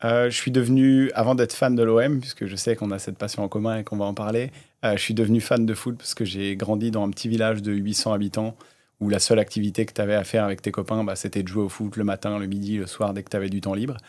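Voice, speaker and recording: A man in his thirties, a startup founder, telling his story in a relaxed way with many hesitations in a casual interview. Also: on the low side, fast. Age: 30s.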